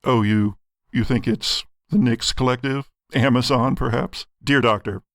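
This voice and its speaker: A man playing a smug, scheming cult villain in a tabletop audio drama, condescending and deliberate. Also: mid-range voice, steady tone, a bit bright, dry recording, clean studio sound. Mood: smug, menacing. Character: smug, scheming male cult villain named Lazarus Kane.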